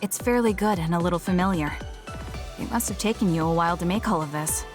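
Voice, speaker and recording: Woman acting blunt, low-toned young female soldier over music. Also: quick pace, close to an everyday voice. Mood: reserved, softened. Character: stern, blunt young female soldier, reserved but caring. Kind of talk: video game English voice acting. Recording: game music behind the voice. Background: game music.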